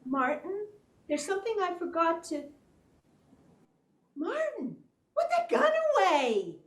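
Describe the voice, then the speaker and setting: A loving, pleading woman, played by an actress in a 1940s radio melodrama. Her voice is high and swings widely in pitch, earnest and coaxing, with roomy echo around it. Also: wildly swooping pitch, takes it slowly, exaggerated voice. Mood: alarmed. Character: loving, pleading woman urging a fugitive to surrender. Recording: roomy, echoing stage sound.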